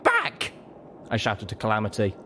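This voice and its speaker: Low-voiced, very animated male story narrator. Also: moderate pace, noisy background. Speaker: audiobook reader. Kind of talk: expressive first-person scripted narration.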